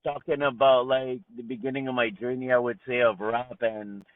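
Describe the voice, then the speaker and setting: A man's voice: mid-range voice, level tone, moderate pace, no room sound. A man from Montreal, Canada, a rapper talking casually in an interview, heavy on slang and "you know". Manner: laid-back slang, frequent "you know", "man", "bro". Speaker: rapper guest. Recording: tinny phone-like call line.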